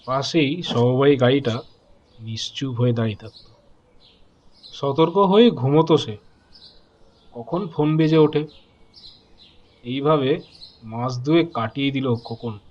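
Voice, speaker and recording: A man narrating a horror story dramatically, in measured short phrases with pauses. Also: medium pitch, darkish tone, highs cut off.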